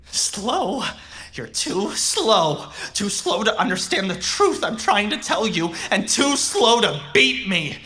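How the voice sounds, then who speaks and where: A woman voices an intense young robot who was once human, in a cyberpunk audio drama. The delivery is sharp and swings widely in pitch. It presses each point slowly and emphatically, pleading with an edge of menace. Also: big pitch swings, heavily acted. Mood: menacing. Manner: emphatic, repetitive, emotionally pressing.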